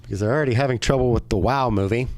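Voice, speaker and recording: Casual male podcast co-host, medium pitch. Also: racing, darkish tone. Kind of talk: casual chat about video games and films.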